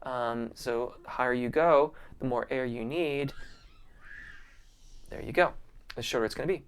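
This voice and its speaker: Male vocal coach, live-stream host; clear, smooth voice, mid pitch, easygoing and appreciative. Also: normal speed. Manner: friendly and appreciative, many ums and restarts. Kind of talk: casual live-stream Q&A, reading viewer comments.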